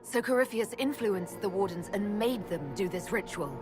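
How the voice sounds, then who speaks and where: An actress playing a stern heroic leader in a video game cutscene, speaking in a firm, direct, serious way. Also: female voice, medium pitch, animated, normal speed, music in the background, close to an everyday voice. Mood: questioning, serious. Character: stern heroic woman leader confronting a villain.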